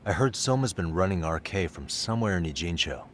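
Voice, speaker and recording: An actor playing a cool, terse investigator in a video game, talking in a matter-of-fact way. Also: male voice, low voice, expressive, a little fast, fairly bright tone, slight background noise. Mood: businesslike. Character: cool, businesslike male investigator on the hunt. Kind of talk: naturalistic video game cutscene acting.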